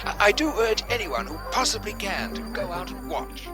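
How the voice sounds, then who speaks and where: High-voiced, awestruck male commentator. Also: sing-song, normal speed, over loud music. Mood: awed, excited. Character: awestruck male commentator urging people to watch. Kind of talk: radio drama acting.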